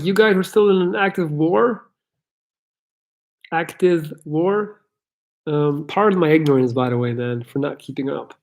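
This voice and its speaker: A man's voice: high voice, darkish tone. A man from Belgium with an American-sounding English accent, hosting a casual live Q&A and answering chat questions bluntly and candidly, swearing freely. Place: his parents' house.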